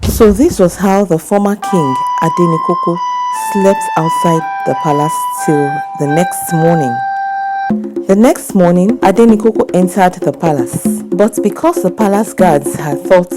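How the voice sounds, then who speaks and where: A woman's voice: on the low side, measured pace. A woman with a Nigerian accent narrating a scripted folktale as a storyteller. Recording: prominent background music.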